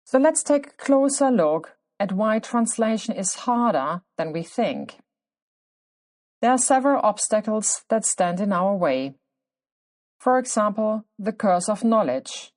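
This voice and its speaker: A woman with a German accent, a native German speaker who works as a clinician, hosts her own podcast. Her voice sits in a middle pitch, and she narrates from a script at a measured, deliberate pace, pausing for emphasis. She sounds middle-aged. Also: animated, darkish tone. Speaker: podcast host.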